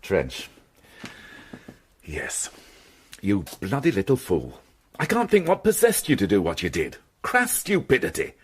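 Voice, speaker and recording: A man's voice: fairly low pitch, wildly swooping pitch, a little slow, long pauses, husky, heavily acted. A man playing a sardonic, world-weary, middle-aged police inspector in a British radio crime drama, with dry, biting delivery. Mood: scornful, angry.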